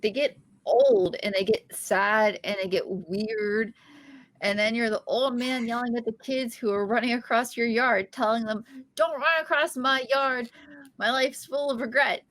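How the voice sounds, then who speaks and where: A quirky, chatty young woman, earnest and a bit goofy, as a woman reads her in a sitcom table read. Her voice sits a touch high, and her delivery is casual and unpolished. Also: fairly even intonation, unhurried, fairly bright tone, no echo. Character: quirky, upbeat young woman nursing a breakup. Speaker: podcast host reading a part.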